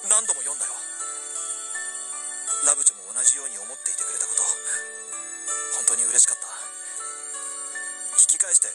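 A man playing an earnest, apologetic young man in a romantic radio drama, speaking tenderly and deliberately to his childhood sweetheart. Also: mid-range voice, very animated, loud music behind the voice, lightly acted. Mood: regretful, sincere. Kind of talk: romantic radio drama, first-person narration and dialogue.

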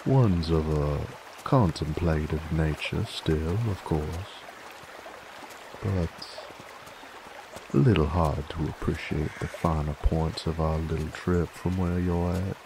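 Werewolf bounty hunter , male actor for ASMR roleplay: extremely low, slow Southern or Western drawl, teasing and dominant, water ambience behind. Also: background noise, full character voice. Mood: wistful, easygoing. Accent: American Southern or Western drawl. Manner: folksy, drawling, wry, unhurried.

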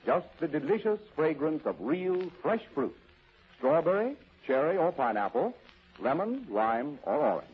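A man's voice: a little high, sing-song, a little slow, husky, dull audio. A man announcing and advertising in 1930s radio style on an old broadcast recording.

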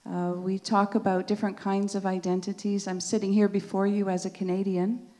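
A woman's voice: medium pitch, flat delivery, a little fast, mellow. Earnest middle-aged woman panelist. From Netherlands. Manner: thoughtful, earnest, with light laughs. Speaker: panel speaker. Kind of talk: answering audience question at a panel.

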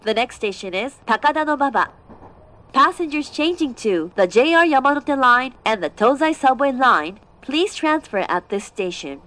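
Slow, fairly high female train announcer. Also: big pitch swings, fairly bright tone. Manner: formal, carefully enunciated. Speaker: English-language train announcement voice. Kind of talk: prerecorded scripted announcement.

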